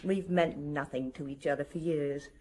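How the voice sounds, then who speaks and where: An actress playing an elegant, nervous, middle-aged wife in a radio drama, terse and brittle with a haughty, bitter edge. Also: female voice, sits low, fairly even intonation, normal speed, a little mellow, poor audio. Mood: bitter, anxious.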